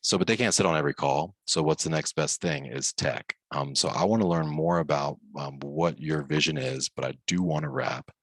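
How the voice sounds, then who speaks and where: A man with an extremely deep voice, recorded close and dry. He hosts a podcast, chatting casually as he sums up points and addresses guest and listeners. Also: moderate pace, hardly any pauses, dry recording. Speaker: podcast host.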